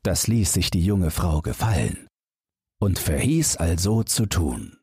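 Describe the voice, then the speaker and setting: Very husky, low-voiced male storyteller. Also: big pitch swings, warm tone, dry recording, studio quality. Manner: measured, storybook reading with deliberate phrasing. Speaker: audiobook narrator. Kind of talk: bedtime fairy tale narration.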